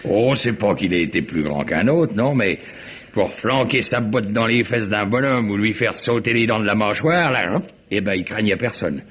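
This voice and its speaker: A gossipy old sea captain, with a husky, lowish voice. He rambles jovially and colloquially like a born yarn-spinner. It sounds like a muffled vintage radio drama. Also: lively intonation, moderate pace, fairly bright tone. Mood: gossipy relish. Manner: colloquial, rambling storytelling punctuated by chuckles. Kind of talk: French radio mystery drama acting.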